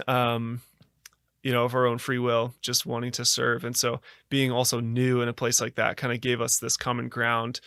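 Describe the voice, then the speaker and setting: Man, American accent, earnest, halting with fillers, smooth voice, dry close sound. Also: mid-range voice, fairly bright tone, dry recording. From United States. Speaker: podcast guest. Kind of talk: reflective storytelling.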